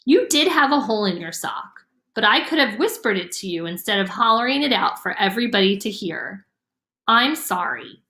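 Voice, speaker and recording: An earnest little country girl from a storybook, voiced by a woman. She is blunt and troubled, speaking in a folksy, plaintive way with lively rises and falls. The sound is echoey. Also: mid-range voice, moderate pace. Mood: apologetic. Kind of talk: storybook character voice. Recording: roomy, echoing room sound.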